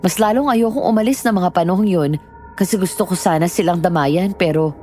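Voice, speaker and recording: A woman's voice: on the low side, a little fast, a little mellow, natural voice. An actress playing a young woman who narrates her own frightening ordeal in a first-person horror story. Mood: rueful. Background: music.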